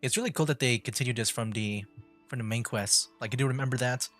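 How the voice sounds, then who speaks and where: A man's voice: medium pitch, quick pace, fairly bright tone. A man doing casual livestream commentary, chatting informally and rambling as he works out his thoughts. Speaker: gaming livestreamer. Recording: game music behind. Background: video game music.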